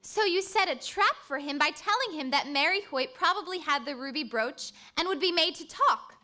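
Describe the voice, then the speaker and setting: A woman's voice: sits high, lively intonation, moderate pace, pushed and bright. A woman acting an earnest, inquisitive companion to a crime fighter in an old-time radio drama. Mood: earnest, curious. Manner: theatrical, earnest.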